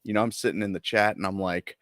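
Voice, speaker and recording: Low-voiced co-host, dry close sound. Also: male voice, fairly even intonation, quick pace, a bit bright, no room sound. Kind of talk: casual live-stream chat.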